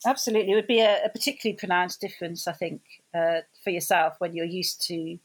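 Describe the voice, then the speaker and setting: A woman with a slightly low voice hosts an interview. She sounds encouraging and affirming, and she often praises her guest and sums up what the guest has said. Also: steady tone, fast talker, husky, low-quality recording. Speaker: interviewer, host. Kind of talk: supportive interview.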